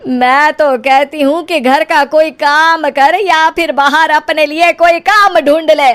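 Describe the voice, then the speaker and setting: Cartoon mother-in-law, female performer: middle-aged, high voice, slow nagging delivery, proud. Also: fairly even intonation, a bit bright.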